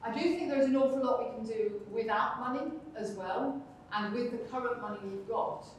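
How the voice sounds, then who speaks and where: A woman's voice: fairly high pitch, brisk, slight background noise. A woman speaking at a conference, emphatic and encouraging, answering questions from the floor. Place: large conference room. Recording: echoey hall, speaker far from mic.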